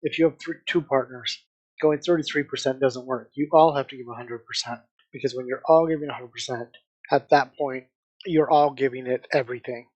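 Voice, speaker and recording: A man's voice: mid-range voice, fairly even intonation, brisk, darkish tone, husky, lo-fi sound. A man co-hosting a book club podcast, chatting casually and stressing his points by repeating them.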